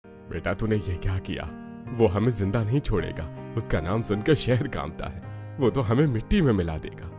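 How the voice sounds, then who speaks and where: A man's voice: lowish voice, animated, moderate pace, over music. A man acting a worried, grave older father in a dramatized family story, with dramatic delivery. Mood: fearful.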